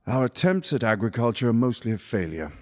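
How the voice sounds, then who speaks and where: A man playing a colonial governor who gives a grave, measured report in a radio play. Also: mid-range voice, very animated, darkish tone, close to an everyday voice. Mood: weary. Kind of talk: community radio play acting.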